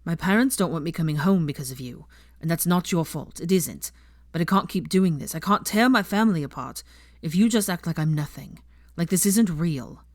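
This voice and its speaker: A woman voicing an anguished, angry young man in a dramatic audiobook, forceful, bitter and pleading. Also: lowish voice, lively intonation, brisk, dry recording, clean studio sound. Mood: desperate. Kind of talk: dramatic audiobook character acting.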